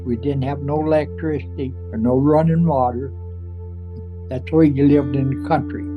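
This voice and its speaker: A man's voice: mid-range voice, steady tone, moderate pace. An older man reminiscing about his rural childhood in plain country speech. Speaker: interviewee. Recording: tinny lo-fi audio with guitar music.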